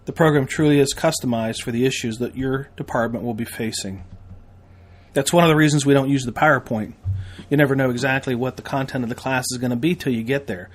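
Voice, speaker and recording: A man's voice: mid-range voice, fairly even intonation, quick pace. An American man hosting a podcast, delivering a promotional monologue as a training instructor in an emphatic, folksy way with repetition and rhetorical questions.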